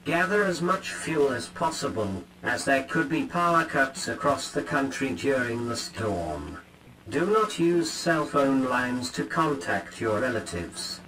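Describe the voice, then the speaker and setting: A man with a mid-range voice reads an emergency weather alert. His delivery is calm, clipped and official. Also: mid-range voice, measured pace, low-quality recording. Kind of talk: formal emergency alert reading.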